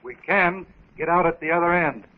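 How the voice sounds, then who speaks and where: Guy acting as solemn wild jungle man, short formal sentences, higher voice, tinny 1930s radio serial. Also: normal speed, close to an everyday voice. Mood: solemn, watchful. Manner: terse, formal, simple declarative speech with animal-language words. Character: jungle-raised wild man, solemn, watchful, sure of himself.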